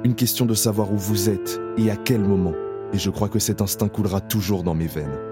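An actor playing a haunted male camp survivor in a radio drama, speaking in a slow, solemn way. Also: fairly low pitch, lightly acted. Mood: grave. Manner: slow, solemn, measured delivery. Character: adult man, camp survivor, haunted and grave. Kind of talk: radio drama first-person narration. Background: music.

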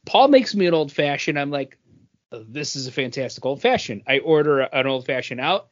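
A man's voice: medium pitch, big pitch swings, moderate pace, lo-fi sound. A man co-hosting a podcast, talking in an informal, conversational way. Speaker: podcast co-host. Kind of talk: casual chat.

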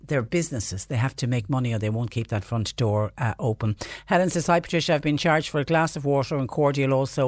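A woman presents local radio in a Cork Irish accent, reading notices and messages to listeners. The sound is dry and close, and her pitch sits mid to low for speech. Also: dry recording. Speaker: radio host.

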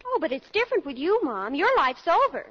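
Bratty breezy college girl, old radio comedy, real high n bouncy voice, slangy. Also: very animated, fairly quick, a bit bright. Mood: impatient, dreamy. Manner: slangy, flippant, gushing. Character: breezy, spoiled college-age daughter, girlish and flippant. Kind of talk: 1940s radio comedy acting. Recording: dull old 1940s radio broadcast.

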